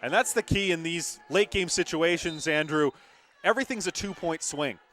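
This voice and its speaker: A man's voice: a little high, normal speed, fairly bright tone. A young man doing live volleyball play-by-play, emphatic and conversational with his broadcast partner. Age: young adult. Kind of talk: live sports commentary.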